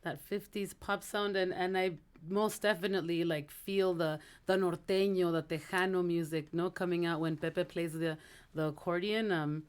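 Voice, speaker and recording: A woman's voice: lowish voice, steady tone, moderate pace, smooth. A woman from Los Angeles, a band singer, chatting casually in an interview and often checking for agreement. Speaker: lead singer being interviewed. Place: radio studio.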